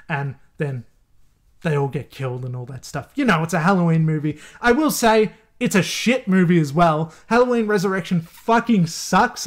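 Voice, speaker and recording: A man hosting his channel, giving casual movie recommendations, candid and swearing freely, laughing often between thoughts. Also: on the high side. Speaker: channel host.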